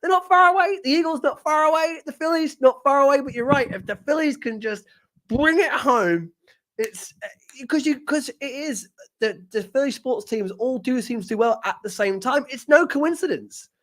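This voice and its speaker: A man's voice: very high-pitched, normal speed, fairly bright tone. A man from Britain hosting a casual podcast interview, enthusiastic, often restarting phrases. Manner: enthusiastic, repeats words, laughs often. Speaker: podcast host.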